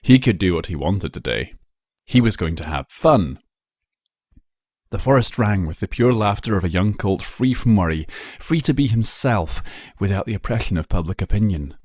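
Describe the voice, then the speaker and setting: A man with a low voice narrates a story. He reads in a measured, dramatic way, and the sound is dry, with very little room. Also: expressive, a little mellow, no room sound. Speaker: narrator, fan fiction reader.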